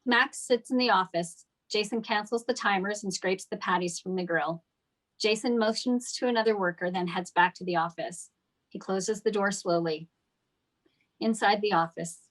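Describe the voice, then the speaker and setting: A woman's voice: medium pitch, normal speed, fairly bright tone, low-quality recording. A woman narrating scripted stage directions at a table read.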